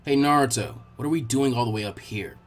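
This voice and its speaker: A man's voice: medium pitch, fast, voice pushed down, close to an everyday voice. A man voicing a cheerful, easygoing young ninja boy in a fan fiction reading, friendly and plain-spoken. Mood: curious.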